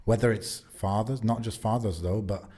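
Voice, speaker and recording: A man co-hosting a podcast, speaking in a reflective, measured way. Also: fairly low pitch, fairly even intonation, warm tone, husky. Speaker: podcast co-host. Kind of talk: casual podcast chat.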